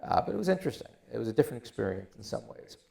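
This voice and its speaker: A man's voice: medium pitch, sing-song, very fast, gravelly, warm tone. A middle-aged man with an Israeli accent, a guest telling his story with dry, self-deprecating humor. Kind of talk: casual interview.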